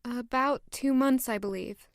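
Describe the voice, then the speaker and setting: A demure young maid in an audio drama, easily flustered and privately smitten. Her voice is high and very smooth, recorded close and dry. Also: unhurried, dry recording. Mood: flustered, polite. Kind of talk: audio drama acting with first-person narration.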